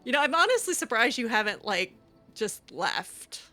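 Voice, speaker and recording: A woman improvising in-character dialogue as she plays a roleplaying game, halting and repeating words. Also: a little high, big pitch swings, sharp tone, soft background music.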